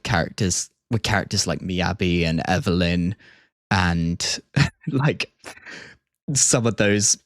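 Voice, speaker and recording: A man giving casual livestream reaction commentary, enthusiastic and thinking out loud as he goes. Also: deep voice, no room sound, clean studio sound. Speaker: streamer. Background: music from game trailers.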